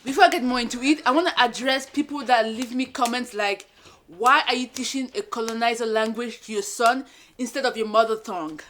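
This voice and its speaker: A young woman from Cameroon, a first-time mom vlogging in a sassy, animated way, whose first language is French. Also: on the high side, brisk, slight background noise. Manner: animated, sassy, switches to French with her toddler. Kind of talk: casual talk to camera.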